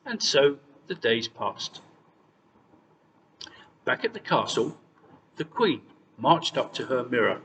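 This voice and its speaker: A man's voice: medium pitch, sing-song, slow pace, pauses often, fairly bright tone, far from the mic. A man narrates a children's fairy tale with very varied intonation. He sounds set back from the mic in a long reverb, with faint noise underneath. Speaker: storyteller.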